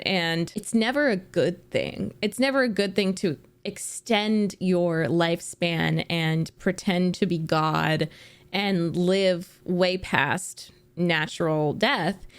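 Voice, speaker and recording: A woman's voice: fairly low pitch, clear, resonant tone. A young woman hosting a reaction video, chatting casually, giggling and musing aloud.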